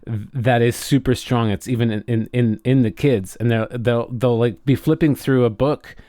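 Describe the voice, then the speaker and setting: A man chatting casually and reflectively as a podcast co-host, often halting as he searches for words. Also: medium pitch, steady tone, dark tone, no echo, clean studio sound.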